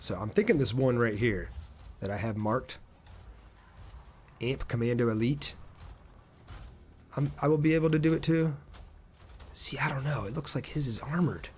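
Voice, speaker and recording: A man's voice: medium pitch, a little mellow. A man giving casual live gameplay commentary as a game streamer, talking to his viewers as he plays.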